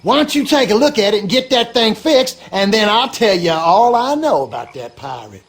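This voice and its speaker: High-pitched folksy Southern swamp yokel. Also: male voice, very animated, normal speed. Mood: confiding. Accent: rural Louisiana Southern American. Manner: folksy, drawling, colloquial storyteller. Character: gossipy superstitious Southern backwoods swamp husband. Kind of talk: animated game character dialogue.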